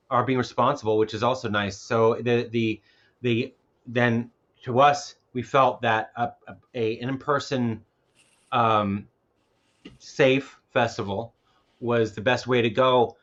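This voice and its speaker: A man's voice: medium pitch, little pitch movement, slow pace, pauses often, a bit bright, muffled recording. A man speaking casually as a filmmaker and festival organizer on a panel, rambling and often repeating words as he goes.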